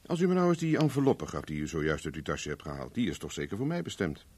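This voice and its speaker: A very low male voice as a cynical private investigator in a vintage radio drama. He is wry and flirtatious, a hard drinker who sidesteps questions and turns firm when pressed. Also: sing-song, fast talker, a little mellow, breathy edge, heavily acted. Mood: wry, teasing. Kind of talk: Dutch radio play acting, early 1970s.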